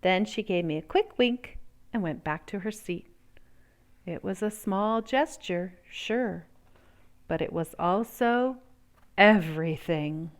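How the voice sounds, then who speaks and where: A woman reading a children's picture book aloud in an expressive, theatrical way, drawing out words and pausing for emphasis. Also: medium pitch.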